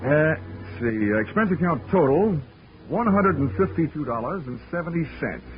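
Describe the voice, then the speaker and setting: A man's voice: fairly high pitch, expressive, normal speed, natural voice. An actor playing a wisecracking male insurance investigator, narrating in a dry, wry, hard-boiled way in a 1940s radio drama. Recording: tinny 1940s radio broadcast with music.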